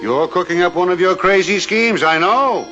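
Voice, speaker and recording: Casting: skeptical American friend in old TV western. Highish, lively voice, anxious and wry, on a dull soundtrack. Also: male voice, moderate pace. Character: worried, skeptical male friend of a banker. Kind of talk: vintage television western acting. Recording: dull old television soundtrack, faint music.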